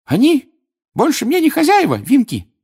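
A small male house-elf from a children's fantasy storybook. He squeaks high and breathy, swinging wildly in pitch. His speech is servile, halting and excitable. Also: full character voice. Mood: defiant. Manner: halting, servile, speaking of himself in third person.

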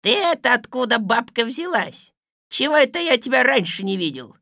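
A woman's voice: a little high, big pitch swings, moderate pace, sharp tone, dry recording, studio quality, voice pushed up, exaggerated voice. A woman voicing a cowardly, insolent male scribe with a whiny, wheedling manner in a storybook reading.

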